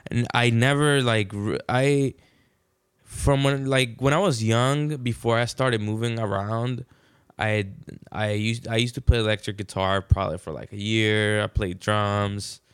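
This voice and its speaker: A man's voice: fairly low pitch, steady tone, normal speed, smooth, no echo, clean studio sound. A man in his twenties from Venezuela, a podcast guest chatting in a slangy, rambling way. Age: 20s. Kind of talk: casual chat.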